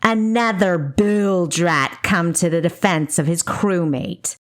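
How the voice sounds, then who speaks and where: Woman voicing a slow, cruel, haughty baron. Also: lowish voice, lively intonation, fairly bright tone, exaggerated voice. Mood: sarcastic scorn. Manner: commanding, contemptuous. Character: cruel, haughty male baron, sneering villain.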